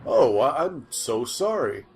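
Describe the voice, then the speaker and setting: A man acts out an excitable field reporter in a comic sci-fi radio play. He is clueless and pushy, with a mid-range voice that swings up and down. Some noise sits behind him. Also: mid-range voice, slow pace. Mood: incredulous. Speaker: news reporter interviewer. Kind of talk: amateur comic audio drama narration.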